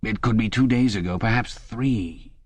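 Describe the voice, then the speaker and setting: A man's voice: lowish voice, normal speed, husky, dry recording. A man voicing a large, clumsy, bewildered prisoner who speaks in a vague, wondering way. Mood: puzzled.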